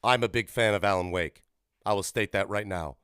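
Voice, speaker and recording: A man hosting his own podcast in casual chat, animated and swinging up in pitch and loudness for emphasis. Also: fairly quick, clean tone, dry recording, clean studio sound. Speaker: podcast host.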